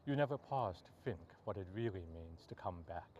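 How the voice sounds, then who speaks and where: A man reading poetry aloud as a poet, in measured, deliberate short phrases. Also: lowish voice, animated, a little mellow, muffled recording, a little hiss or hum. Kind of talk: poetry reading, recited free verse.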